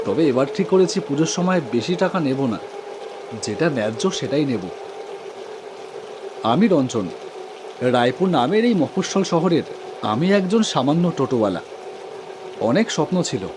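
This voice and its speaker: A man's voice: fairly high pitch, background noise, lightly acted. A man playing a humble, devoted young auto-rickshaw driver who tells his own story earnestly in a cartoon. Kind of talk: first-person cartoon horror story narration with dialogue.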